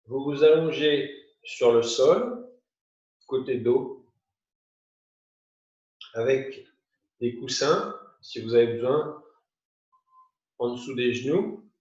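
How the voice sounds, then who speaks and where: A man teaching yoga nidra, guiding a meditation in short, unhurried phrases with pauses. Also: medium pitch, darkish tone. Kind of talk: guided meditation, spoken instructions. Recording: muffled, roomy, distant from the mic.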